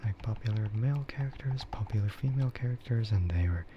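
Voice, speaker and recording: A man rambling casually and hesitantly in a soft, breathy ASMR whisper, as a streamer chatting close to the mic. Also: on the low side, fast talker, highs cut off, background noise.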